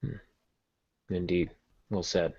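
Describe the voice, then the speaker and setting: A man's voice: deep voice, fairly even intonation, takes it slowly, dark tone. A man hosting a podcast, talking casually about the craft of writing. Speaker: podcast host. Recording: muffled lo-fi podcast audio.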